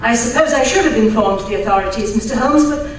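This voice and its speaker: A woman's voice: on the high side, quick pace, loud music behind the voice, lightly acted. A woman playing a genteel, eager titled lady archaeologist in an old-time radio drama, polite and earnest. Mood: explanatory. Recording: very echoey hall, distant mic, faint noise.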